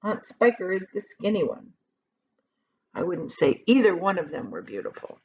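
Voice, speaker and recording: A woman reading a children's book aloud like a teacher, in an expressive storytelling style. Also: lowish voice, normal speed, a little hiss or hum. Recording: slightly dull, lo-fi sound.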